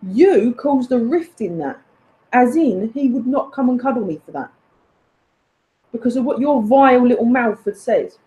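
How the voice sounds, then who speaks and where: A woman's voice: a little high, animated, normal speed, mellow, rough recording. A woman delivering an indignant, emphatic personal rant, addressed accusingly to someone who is absent.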